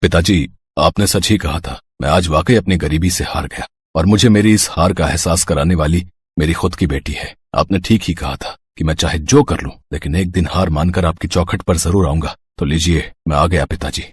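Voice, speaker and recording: A stern, principled father from a cartoon moral story, played by a man. His voice is fairly low and husky, with very little room sound. He speaks dramatically and reproachfully. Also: moderate pace, dry recording. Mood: defeated, subdued. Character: stern, principled working-class father of a grown daughter.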